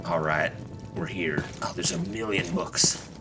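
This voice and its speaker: A man's voice: low-pitched, very animated, measured pace, vocal fry, over loud music. A man giving casual, sweary, irreverent commentary while streaming a video game. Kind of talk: casual live gaming commentary.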